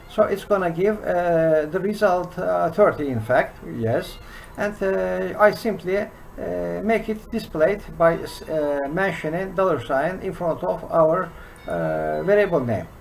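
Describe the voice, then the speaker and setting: A man in his sixties with a Turkish accent, teaching a programming lesson from his desk in a slow, halting way full of "uh" fillers. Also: sits high, lively intonation, vocal fry, slight background noise, low-quality recording. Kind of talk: screen-recorded coding lesson. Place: small room indoors.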